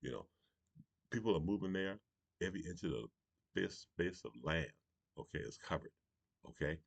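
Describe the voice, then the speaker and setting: A man's voice: sits low, normal speed, gravelly, no echo. A man from Charleston, South Carolina, hosting a morning show with a rambling political monologue, often saying "you know" and laughing between points.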